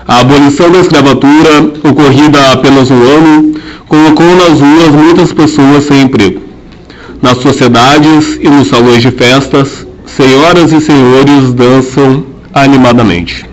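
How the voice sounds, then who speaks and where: A man narrating an amateur historical radio drama, telling it in a measured and solemn way. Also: medium pitch, steady tone, low-quality recording.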